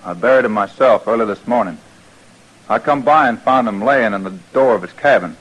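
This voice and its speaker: An actor playing a rough frontier drifter with a rural American accent, talking in a halting, folksy way in an old-time radio western. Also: male voice, on the low side, a bit bright, poor audio. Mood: grim.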